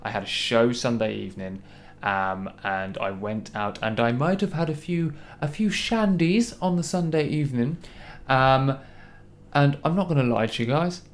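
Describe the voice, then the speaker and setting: A man's voice: medium pitch, normal speed, a little hiss or hum. Chatty hungover man hosting a podcast. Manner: chatty, lots of ums, laughs easily. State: hungover, a bit croaky. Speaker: podcast host. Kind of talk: casual podcast chat. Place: large room in a hostel. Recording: echoey large room.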